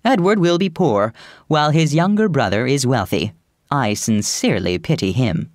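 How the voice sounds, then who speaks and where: A woman voicing a stiff, self-important middle-aged gentleman who speaks in a grave, pompous manner in a storybook reading. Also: rumbling low, expressive, normal speed, dry recording, studio quality, voice pushed down.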